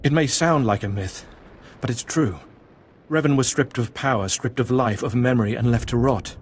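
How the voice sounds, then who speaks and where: An actor playing a guarded, reverent cult zealot in a video game, earnest and declamatory. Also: male voice, medium pitch, normal speed, a little hiss or hum, lightly acted. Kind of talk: video game cutscene acting.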